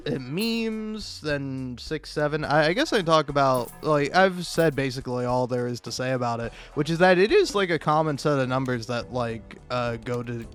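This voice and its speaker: A man giving casual, meandering gameplay commentary, swearing freely and poking fun at himself as he chuckles along. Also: mid-range voice. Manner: sweary, self-deprecating, meandering; chuckles often while talking. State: high on drugs. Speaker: video game streamer.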